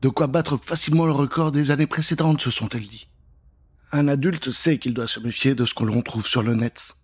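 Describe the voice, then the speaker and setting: A man's voice: mid-range voice, brisk, husky. A middle-aged man narrating a spooky children's tale in a theatrical, playful way, speaking straight to the listeners on a close studio microphone. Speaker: storyteller, narrator. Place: dark studio booth. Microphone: close studio microphone with pop filter. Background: prominent music.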